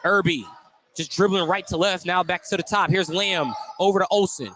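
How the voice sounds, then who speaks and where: A man's voice: high-pitched, moderate pace, pushed and bright, a little hiss or hum. A man doing live radio play-by-play commentary of a basketball game as the announcer.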